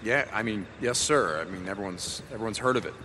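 An actor playing a gruff American soldier recruit in a video game, halting, deferential and understated. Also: male voice, lowish voice, long reverb tail, noisy background, lightly acted. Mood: hesitant. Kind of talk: video game dramatic acting.

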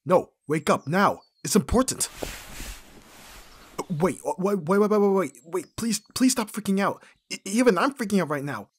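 A man acting an awkward, flustered young best friend in an ASMR roleplay, with a breathy, husky voice and hesitant, stammering delivery. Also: high-pitched, animated, normal speed. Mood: panicked. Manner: hesitant, stammering, often dropping to whispers.

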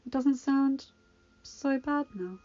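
A woman's voice: sits high, slow pace, warm tone, lo-fi sound, voice pushed up. A woman voicing a dying young enchantress, proud and dry-witted, speaking breathless and strained. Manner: breathless, strained, dryly sardonic.

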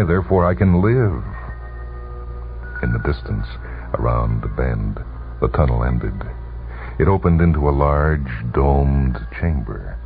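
A man narrating a short story for radio in a measured, suspenseful, dramatic reading, heard on a muffled old broadcast. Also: rumbling low, gravelly. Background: music.

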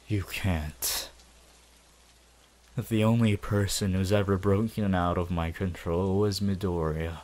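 A man voicing a possessive, gloating young anime villain in a roleplay, menacing and megalomaniac. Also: low voice. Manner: slow, menacing, swelling from whispers to shouts.

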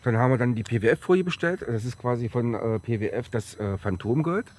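A man in his forties with a German accent from the Halle region, a bit hoarse after a cold, chatting casually and rambling as he presents a car outdoors on a sunny lot. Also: medium pitch, lively intonation, brisk, darkish tone. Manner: chatty, rambling, with fillers and restarts. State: slightly hoarse from a recent cold. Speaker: tuning shop presenter. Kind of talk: casual on-camera car walkaround.

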